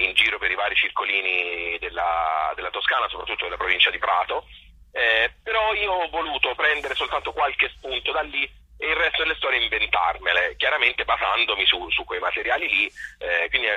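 A Tuscan-accented man with a low, raspy voice and a very sharp, forceful edge, heard over a phone line on the radio. He speaks spontaneously and haltingly, often repeating small words as he searches for the next phrase. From provincial Tuscany, Italy. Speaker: interview guest, playwright and actor. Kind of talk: spontaneous phone interview talk. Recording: harsh telephone line on radio.

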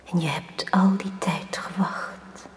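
An actress playing the calm, eerie ghost of a drowned young woman in a radio drama, in a whispery voice. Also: on the low side. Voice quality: whispery, slow. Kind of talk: 1980s radio drama acting.

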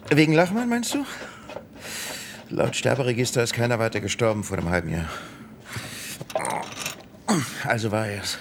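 An actor playing a deliberate, conflicted small-town lawman in radio play dialogue. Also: mid-range voice, animated, gravelly, slight background noise. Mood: probing, wary.

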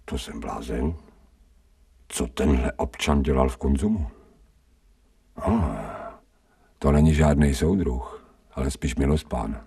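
An actor playing a scrawny, streetwise stray dog in a radio drama, grumbling in colloquial speech with sardonic self-pity. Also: male voice, rumbling low, expressive, long pauses, mellow, slightly rough, far from the mic, exaggerated voice. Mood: appraising. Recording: 1990 radio drama, off-mic.

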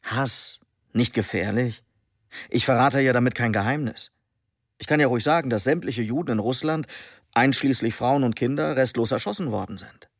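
An actor playing a callous captured German soldier in radio drama dialogue, confiding in a matter-of-fact way. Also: male voice, mid-range voice, normal speed, no echo, studio quality, close to an everyday voice.

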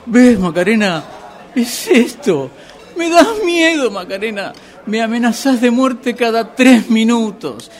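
A man's voice: very high voice, expressive, slow pace, full character voice. A man playing a hapless, wavering boyfriend in a radio comedy, speaking sheepishly and hesitantly with a Rioplatense accent. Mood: frightened, protesting. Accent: Rioplatense Argentine. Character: hapless, hungry, wavering boyfriend afraid of his girlfriend. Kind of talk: radio drama comedy acting.

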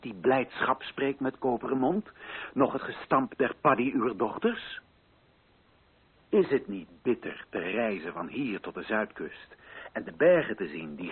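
A man playing an earnest colonial official who addresses local chiefs in solemn, measured, theatrical oratory, as in an old radio drama. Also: medium pitch, husky. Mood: stern, sorrowful. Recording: muffled lo-fi old radio recording.

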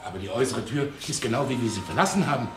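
Man playing impatient businessman, radio crime drama, quick, gravelly, music behind. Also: medium pitch, expressive, close to an everyday voice. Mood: puzzled, concerned. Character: impatient gentleman from the burgled firm. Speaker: actor in a radio crime play. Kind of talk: 1970s German radio drama acting.

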